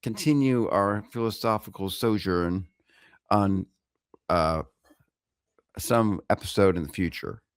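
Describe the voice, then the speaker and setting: A man's voice: low-pitched, slow pace, pauses often, smooth, no room sound, studio quality. A man who is a podcast guest, explaining words carefully and correcting in a good-humored way. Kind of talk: casual conversation.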